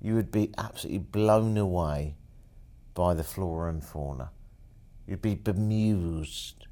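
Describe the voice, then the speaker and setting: A man's voice: low voice, measured pace, warm tone. A man in casual conversation, teasing and wry, painting pictures for his listener. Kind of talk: casual chat.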